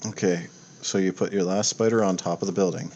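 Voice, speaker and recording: Low-voiced man running a tabletop game. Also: steady tone, a little fast, mellow, dull audio. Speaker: game master.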